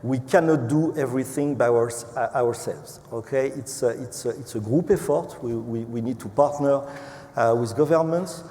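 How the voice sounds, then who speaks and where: A man from France with a French accent speaking as an executive on a panel, halting and hesitant, often repeating words. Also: mid-range voice, warm tone. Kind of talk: unscripted panel remarks. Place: large event hall. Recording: echoey hall with clear background noise.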